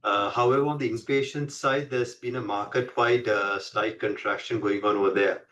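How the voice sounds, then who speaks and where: A man presenting on an earnings call as a company executive, explaining in a measured corporate way. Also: lowish voice, steady tone, a bit bright, distant mic.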